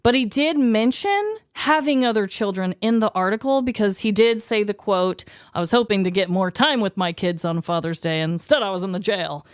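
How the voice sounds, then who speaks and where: A woman's voice: mid-range voice, animated, moderate pace, few pauses, smooth, no room sound, studio quality. A woman hosting a podcast, moving between scripted true-story narration and casual chat.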